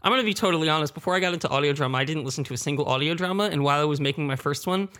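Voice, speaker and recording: A man with a fairly high-pitched voice talks in a relaxed roundtable discussion. He is candid and works out his thoughts as he goes, often rephrasing them. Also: racing. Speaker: audio drama creator and sound designer, roundtable panelist.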